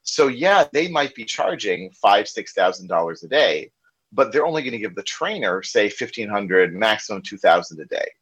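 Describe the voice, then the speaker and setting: A man's voice: lowish voice, very animated, normal speed, a bit bright, breathy edge. An American man telling a candid story in a casual podcast conversation, acting out the dialogue he quotes. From United States. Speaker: corporate trainer and podcast guest. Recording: slightly dull lo-fi sound.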